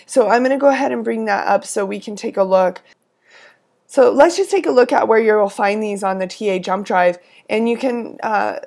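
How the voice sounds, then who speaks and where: A hoarse woman coordinating a lab course, briefing teaching assistants in a friendly, instructive way with frequent fillers and restarts. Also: mid-range voice, a little mellow. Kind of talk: recorded weekly instructional briefing.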